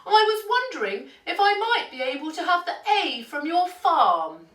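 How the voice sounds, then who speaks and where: A woman's voice: very high voice, sing-song, moderate pace, a bit bright, pitched above the performer's own voice, full character voice. A woman voicing a polite, hopeful young male duck in a children's storybook. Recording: roomy, distant microphone.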